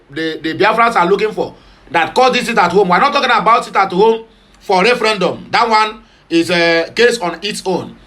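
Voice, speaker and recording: A man's voice: high voice, bright, forceful tone. A man from southeastern Nigeria with a Nigerian Pidgin accent, giving passionate, opinionated news commentary. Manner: passionate, switching between Pidgin, English and Igbo.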